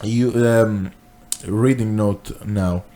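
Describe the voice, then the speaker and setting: A man plays a tipsy narrator of a comic story with a wobbly fake Italian accent. He rambles slowly and haltingly. The tone is self-pitying and mournful. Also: lowish voice, steady tone, warm tone. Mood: rambling, self-pitying. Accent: put-on Italian.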